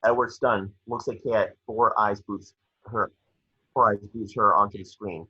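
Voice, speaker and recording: A man's voice: lowish voice, steady tone, a little slow, sharp tone, breathy edge. A man narrating scripted action descriptions at an amateur table read, on a slightly dull home recording. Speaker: reader of stage directions.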